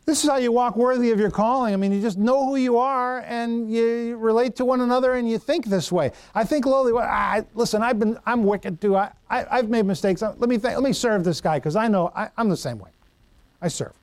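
A man's voice: extremely high pitch, brisk, clear, resonant tone, no echo. A middle-aged man preaching a sermon in a conversational, folksy way, stumbling over Greek words and telling homely stories. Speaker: pastor.

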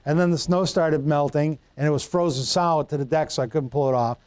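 A middle-aged male pastor with a slightly higher voice delivers a folksy, conversational sermon. He trips over Greek words and drifts into homely stories. The sound is dry and close. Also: steady tone, a little fast, darkish tone, clean tone, dry recording.

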